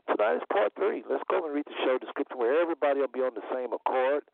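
Male call-in host, medium pitch, slightly unwell voice, emphatic repetition, narrow telephone sound. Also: animated, vocal fry, dry recording. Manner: deliberate, repeats phrases for emphasis. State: voice a little under the weather. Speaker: talk show host. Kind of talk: unscripted call-in religious talk show hosting. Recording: phone-like internet radio audio.

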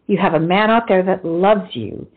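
A woman's voice: on the low side, moderate pace, phone-quality sound, lightly acted. A woman playing a blunt, outspoken, loyal friend who scolds theatrically in a period stage comedy. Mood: scolding.

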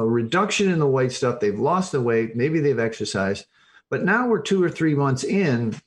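Emphatic, halting middle-aged man explaining. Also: mid-range voice. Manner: emphatic, halting and self-correcting, repeats phrases. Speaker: podcast guest, addiction recovery expert. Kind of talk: informal explanatory interview talk.

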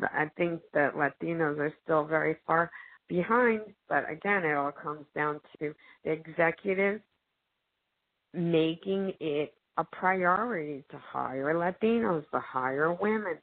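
A woman's voice: low-pitched, measured pace. A woman actress as a guest in a casual discussion, talking earnestly and emphatically with many fillers. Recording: narrow phone-like audio.

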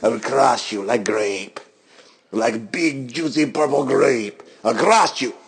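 A man's voice: mid-range voice, slow, husky, pitched below the performer's own voice, exaggerated voice. A man voicing a boastful Russian heel wrestler, cutting a menacing promo in a put-on accent with broken English.

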